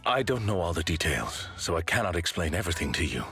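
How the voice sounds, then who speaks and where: A man's voice: sits low, sing-song, quick pace, sharp tone, soft background music, full character voice. A man voicing a formal, composed butler figure in a game cutscene, polite and precise. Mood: measured.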